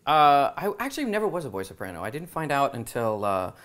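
A man's voice: mid-range voice, sing-song, fast talker, a bit bright. Hesitant, laughing man from San Diego. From San Diego, USA. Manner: hesitant, laughs often, many fillers. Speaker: guest singer. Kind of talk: casual interview chat. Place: small studio with curtains.

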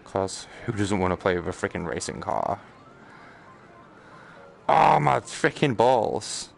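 A man's voice: lowish voice, expressive, takes it slowly, gravelly. A British man giving casual live gaming commentary, grumbling sarcastically with mild swearing over background noise. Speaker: gaming streamer.

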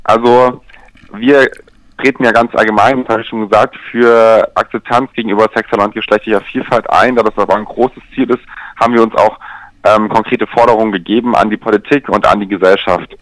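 A man explaining things in a measured, structured way as a guest in a radio telephone interview. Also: lowish voice, fairly even intonation, fairly bright tone, no echo, rough recording. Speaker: interview guest, LGBT pride event organizer. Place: remote, by telephone.

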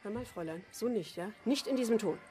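A woman's voice: medium pitch, lively intonation, quick pace, dark tone, faint music behind, natural voice. A woman playing a stressed, short-tempered mother with a weary manner in a German radio drama. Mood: irritated. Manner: weary, snappish.

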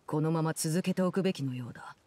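An actress playing an aloof, commanding woman in a Japanese game, speaking in a composed, measured, formal way. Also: deep voice, mellow, slight background noise, natural voice. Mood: cool, dismissive. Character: cool, commanding woman, aloof diplomat and stern guardian. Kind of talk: Japanese anime-game voice acting.

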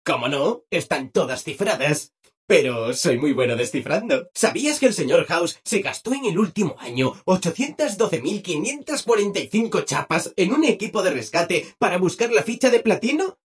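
A video game robot helper with a Castilian Spanish accent, relentlessly cheerful and keen to please. The voice sits high and swoops up and down, with a husky edge. Everything comes out chirpy and over-obliging. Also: male voice, big pitch swings, moderate pace, full character voice. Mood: proudly boastful. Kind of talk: video game character voice acting.

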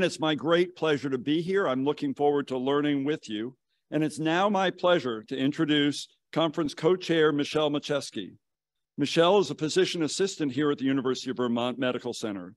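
An older man in his sixties delivers a formal welcome speech from a podium. His voice sits a little high for a man and is recorded fairly dry. He reads in a measured, official manner. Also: dry recording. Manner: measured, formal, reading from notes. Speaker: medical college dean giving welcome remarks. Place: conference hall stage at a podium, indoors. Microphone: podium gooseneck microphone.

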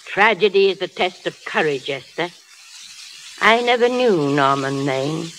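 A blunt old granny in an old radio play, firm and scolding, bit of noise. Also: female voice, fairly low pitch, expressive, unhurried, a bit bright. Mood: earnest urging. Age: older. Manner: firm, plain-spoken, admonishing. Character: stern, loving old grandmother with blunt resolve. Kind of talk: 1930s radio drama acting. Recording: noisy vintage radio broadcast.

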